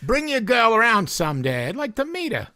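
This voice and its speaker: An actor playing a gruff, stingy older store boss in a vintage-style radio comedy, talking curtly and blustering. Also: male voice, extremely high pitch, huge pitch swings, fairly quick, bright, forceful tone, dry recording, studio quality, heavily acted. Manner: curt, blustering.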